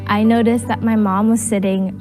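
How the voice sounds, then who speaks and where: A woman's voice: medium pitch, little pitch movement, normal speed. A woman interviewed in a documentary video, telling personal stories casually with frequent filler words. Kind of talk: personal storytelling. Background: music.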